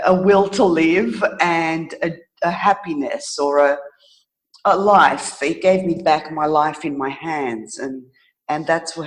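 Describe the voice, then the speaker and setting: A middle-aged woman telling her own story as an interview guest, reflective and thoughtful, often pausing to search for words. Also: low voice, poor audio.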